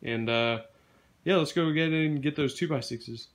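A man's voice: on the high side, moderate pace, a bit bright. A young man casually narrating a DIY build in his home garage, talking with many ums and uhs while he films on a handheld phone. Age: young adult. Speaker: DIY vlogger.

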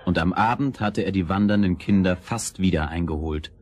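A man narrating a scripted children's fairy tale as storyteller, in a measured, expressive way. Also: sits low, background noise.

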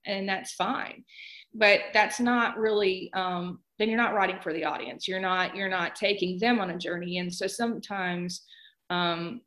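A woman's voice: mid-range voice, measured pace, fairly bright tone. A woman author talking as a guest in a conversational interview.

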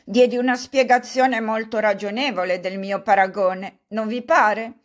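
A woman reading aloud, voicing a fawning old male buffoon who talks loudly and effusively. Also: medium pitch, steady tone, unhurried, lightly acted. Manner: loud, effusive, self-mocking clowning.

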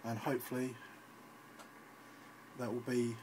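A man's voice: medium pitch, completely flat delivery, a little slow, background noise. A man giving an informal, slightly hesitant live demonstration as an amateur radio operator, recorded on a handheld phone indoors. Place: indoors at a radio station desk.

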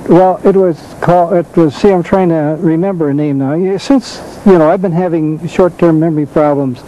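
An older man chatting casually as a co-host, halting, searching for words and repeating himself. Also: fairly high pitch, mellow, slight background noise, poor audio. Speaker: talk show co-host, former comedy and radio writer. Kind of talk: casual chat.

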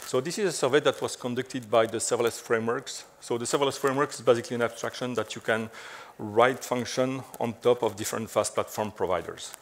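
A man's voice: medium pitch, fast talker, hardly any pauses. Belgian man with a French accent giving a tech talk. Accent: French-speaking Belgian. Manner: chatty, frequent "so" and "uh" fillers, light self-deprecating humor. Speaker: conference speaker. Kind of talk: technical conference talk. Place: large dark conference stage.

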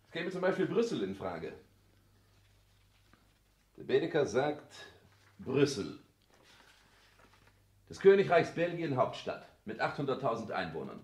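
A calculating careerist son who speaks in polished, commanding phrases. The delivery is formal and theatrical, in vintage radio play manner. The sound is clearly roomy. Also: male voice, medium pitch, sing-song, moderate pace, pauses often, darkish tone. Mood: persuasive, eloquent. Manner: formal, declamatory, commanding stage diction. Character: calculating, domineering careerist son, coldly eloquent. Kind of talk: 1960s German radio play acting.

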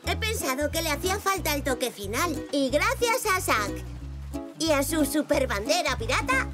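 An eager little cartoon zebra boy of five speaks Castilian Spanish in a high, swooping voice. He is curious and childlike, and music plays prominently behind him. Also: moderate pace. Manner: bright-eyed, expressive, childlike. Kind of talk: preschool cartoon dubbing.

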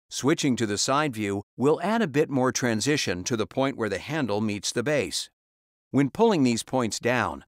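A man reading a scripted step-by-step software tutorial as a voice-over, calm and instructional. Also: medium pitch, no echo, studio quality.

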